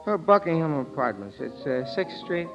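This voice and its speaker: An actor playing a drunken, giggling ex-convict in an old radio crime drama, rambling and repeating himself in slurred speech. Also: male voice, fairly high pitch, very animated, normal speed. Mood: drunken glee. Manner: rambling, repetitive, stubborn. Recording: muffled old radio recording with music.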